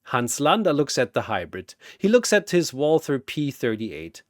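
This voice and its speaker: A man narrating a scripted fantasy battle story dramatically, with theatrical, deliberate phrasing. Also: medium pitch, very animated, no room sound, studio quality.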